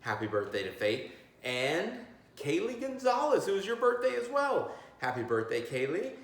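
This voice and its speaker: Very echoey, animated man reading to children. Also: high voice, moderate pace. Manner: chatty asides to young listeners. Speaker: read-aloud host, teacher. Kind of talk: casual children's storybook read-aloud. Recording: very echoey, roomy sound.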